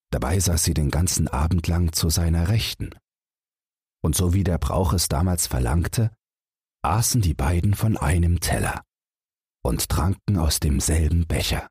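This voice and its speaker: A male audiobook narrator with a low, strongly breathy and husky voice. He reads a fairy tale for bedtime at an unhurried, measured pace, expressively. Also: darkish tone, dry recording, studio quality.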